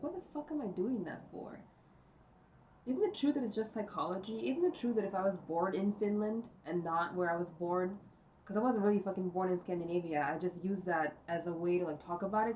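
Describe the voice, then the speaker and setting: A woman vlogging from home, thinking out loud in a rambling way, full of fillers and cursing. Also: lowish voice. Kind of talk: rambling think-aloud monologue. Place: indoors at home. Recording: distant mic with faint noise.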